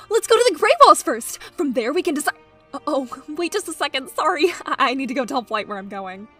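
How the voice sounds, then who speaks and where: A bright-spirited, high female voice with lots of swoop, acted by a woman, over music. The young cartoon animal is bubbly, eager and chatty. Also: expressive, normal speed. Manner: perky, chatty.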